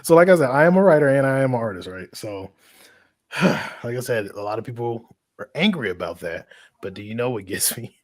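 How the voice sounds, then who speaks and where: A man's voice: mid-range voice, animated, brisk, no room sound, studio quality. A man co-hosting an open chat show, talking casually with lots of laughter and restarts. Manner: laughs often, rambling restarts. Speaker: podcast co-host.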